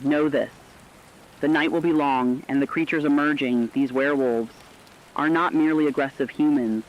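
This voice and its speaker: A man playing an earnest radio co-anchor, giving scripted, urgent warnings in a serious, deliberate manner. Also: on the high side, dull audio, lightly acted. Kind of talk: scripted dramatic news narration.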